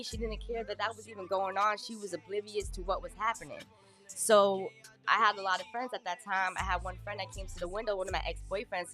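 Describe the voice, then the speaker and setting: A woman in her thirties telling her life story in an interview, candid and plain-spoken, with halting restarts. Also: mid-range voice, a bit bright. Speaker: guest. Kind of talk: personal testimony in an interview.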